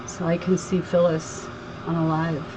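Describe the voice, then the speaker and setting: A woman's voice: deep voice, little pitch movement, normal speed, noisy background. A woman from Connecticut with a slight Southern twang, hosting a crafting livestream and chatting with viewers while she works. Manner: chatty, reads viewer comments aloud, laughs easily. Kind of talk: casual live crafting chat.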